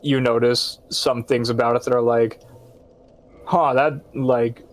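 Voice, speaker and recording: A man's voice: medium pitch, steady tone, a little slow. A young man chatting casually about a game with a friend, rambling with lots of fillers and teasing. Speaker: friend co-playing. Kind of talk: casual gaming chat.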